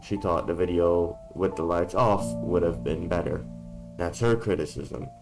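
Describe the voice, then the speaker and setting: Low-voiced guy vlogging in a dark room, sick and kinda worn out, blunt, music going behind him. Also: fairly even intonation, measured pace, rough recording. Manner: blunt, swears casually. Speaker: YouTuber. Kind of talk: casual talking-head vlog.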